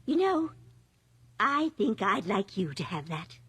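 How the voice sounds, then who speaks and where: An actress playing a gentle, lonely older mother in a radio drama, polite and wistful, with a frail, breathy voice. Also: medium pitch, very animated, unhurried, slight background noise. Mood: gracious, warm. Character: gentle, lonely old mother living in the past.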